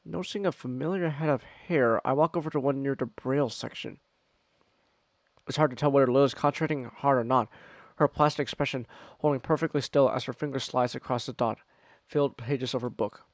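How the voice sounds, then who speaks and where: A man's voice: mid-range voice, a little fast, no room sound. A young man streaming, reading a visual novel aloud and breaking off into asides. He often stammers and repeats words, with a chuckle here and there.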